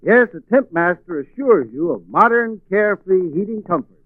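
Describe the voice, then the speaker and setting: A man's voice: high-pitched, animated, moderate pace. An American man delivering a scripted 1940s radio commercial as a sponsor's spokesman. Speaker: advertising spokesman. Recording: tinny, dry old radio broadcast.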